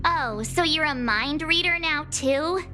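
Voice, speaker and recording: A peppy young game heroine with an extremely high voice and drawn-out pacing. She is outspoken and spirited, with music clearly behind her. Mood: indignant. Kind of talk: video game voice acting. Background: prominent music.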